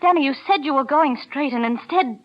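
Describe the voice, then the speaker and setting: A woman's voice: high voice, fairly quick, close to an everyday voice. An actress playing an anxious, pleading young girlfriend, earnest and emotional, in 1940s radio thriller style. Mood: worried. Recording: dull vintage radio recording.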